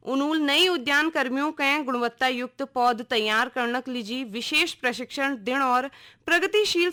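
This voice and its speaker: A woman with a Kumaoni accent reading a scripted regional radio news bulletin in a formal, measured announcer's delivery. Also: sits high, pushed and bright.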